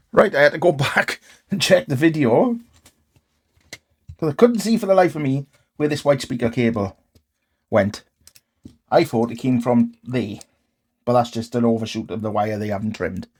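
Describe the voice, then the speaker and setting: Slightly husky man muttering through a repair. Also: mid-range voice, darkish tone. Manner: muttering to himself in short, tentative phrases. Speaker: host of a DIY repair channel. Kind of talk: casual hands-on repair commentary, thinking aloud.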